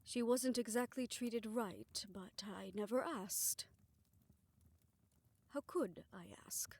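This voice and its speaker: A woman playing a remorseful scientist in an intimate audio drama, speaking softly and haltingly as if confessing. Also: on the high side, no room sound. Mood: guilty, bitter. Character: remorseful female scientist haunted by her past experiments. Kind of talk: soft, intimate audio roleplay acting.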